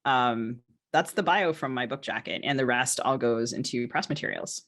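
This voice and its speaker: A woman in casual podcast conversation as co-host and guest, wry and joking, pausing and hedging as she talks. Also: extremely low pitch, a bit bright. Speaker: podcast co-host and novelist being interviewed.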